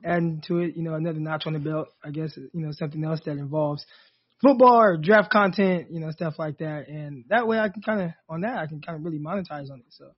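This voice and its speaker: A man co-hosting a casual sports podcast, with a raspy voice and a laid-back manner, leaning on "you know". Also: a little high, fast, highs cut off. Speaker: podcast co-host.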